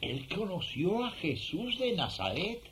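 Humble, eager villager, wildly swooping voice. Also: male voice, high-pitched, huge pitch swings, measured pace, a bit bright, a little hiss or hum, full character voice. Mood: friendly. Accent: Rioplatense Spanish. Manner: hesitant, earnest, effusive. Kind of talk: radio drama acting.

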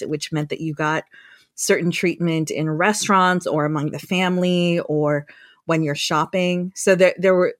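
A woman's voice: low voice, no room sound, clean studio sound. A woman researcher who grew up in the United States, speaking thoughtfully in a conversational interview and often restarting her phrases. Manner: thoughtful, with frequent restarts and fillers. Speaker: guest researcher.

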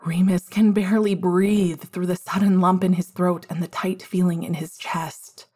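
A woman reading a story aloud as its narrator, in a measured storytelling manner. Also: lowish voice, flat delivery, mellow, breathy edge. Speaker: fiction narrator.

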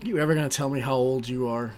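A man's voice: medium pitch, fairly even intonation, fast, darkish tone. A man playing a tabletop roleplaying game, speaking casual in-character dialogue. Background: faint background music.

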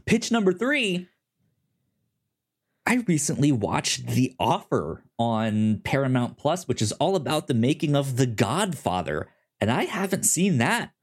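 Halting man chatting on a podcast. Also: medium pitch, very animated, slightly rough. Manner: halting, stammering, repeats words. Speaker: podcast co-host. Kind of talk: casual chat pitching films on a movie podcast.

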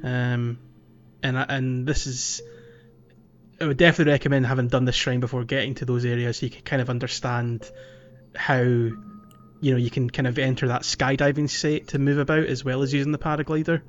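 A man's voice: mid-range voice, level tone, a little fast, dull audio, faint music behind. A young man hosting a casual gaming podcast, friendly, steering the talk and laughing often. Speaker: podcast host.

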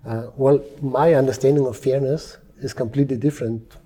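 A man from Europe, a former police negotiator, talking calmly and with measured pauses in an interview. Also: mid-range voice. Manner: calm, measured, with frequent restarts. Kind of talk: interview conversation. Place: Dubai.

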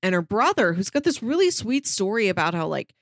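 A woman's voice: medium pitch, big pitch swings, a little fast, no echo. Casual female podcaster, dry close sound. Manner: conversational, peppers speech with "like". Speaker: podcast co-host. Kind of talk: casual discussion and retelling of a story.

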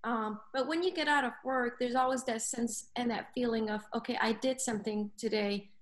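A woman from Lebanon in her thirties, guesting on a podcast and talking about her work in social services. Her voice sits a little high, and she speaks earnestly and thoughtfully, with plenty of fillers as she gathers her thoughts. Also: steady tone, normal speed. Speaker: guest, clinical coordinator. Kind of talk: casual podcast interview.

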